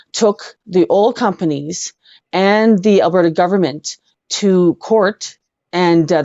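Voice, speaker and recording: Low-voiced Canadian woman, earnest and halting. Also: mellow, no echo, dull audio. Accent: western Canadian. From Edmonton, Canada. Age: middle-aged. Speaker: interview guest. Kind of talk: personal storytelling in a live online interview.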